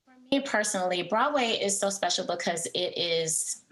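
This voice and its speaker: Young Texan woman, stage actress as guest; earnest, bubbly, quick to laugh. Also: lowish voice, lively intonation, fairly bright tone, low-quality recording. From Texas. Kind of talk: casual interview chat.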